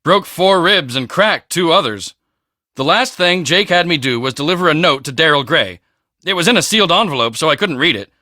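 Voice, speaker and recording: A man voicing a cocky, boastful teenage high school football star in a video game, with a swaggering, emphatic, self-important delivery. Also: lively intonation, a little fast, bright, forceful tone, no echo, studio quality. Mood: uneasy, confessing. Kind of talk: video game character dialogue.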